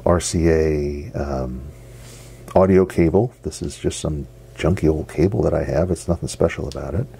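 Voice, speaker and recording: A middle-aged man, giving a casual hands-on demonstration in a halting, unscripted way. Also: sits low, vocal fry, dark tone. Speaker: radio hobbyist presenter. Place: basement radio workshop, indoors. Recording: noisy home basement recording.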